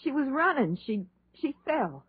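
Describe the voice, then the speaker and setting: An actress playing a jittery, high-strung young woman in a 1930s radio horror play. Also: sing-song, measured pace, no room sound. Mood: defiant, jittery. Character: defiant, jittery young woman who turns remorseful. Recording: muffled, tinny 1930s radio recording.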